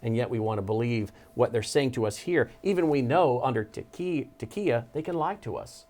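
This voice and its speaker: A man's voice: mid-range voice, expressive, normal speed, a little mellow. A man hosting a studio interview show, speaking emphatically and with mounting urgency. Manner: emphatic, urgent, building intensity. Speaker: talk show host. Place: studio desk.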